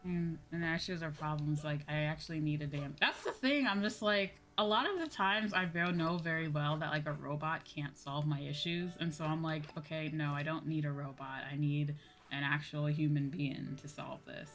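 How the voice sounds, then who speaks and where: A woman's voice: low voice, steady tone, normal speed, fairly bright tone, faint music behind. A woman livestreamer chatting casually while gaming, blunt and swearing freely, repeating her points for emphasis. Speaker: livestream host, VTuber.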